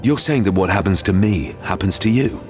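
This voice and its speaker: An actor playing a blunt, practical young warrior hero, speaking plainly and directly in a game cutscene. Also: male voice, low voice, fairly quick, music in the background, natural voice. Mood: determined. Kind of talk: video game cutscene voice acting.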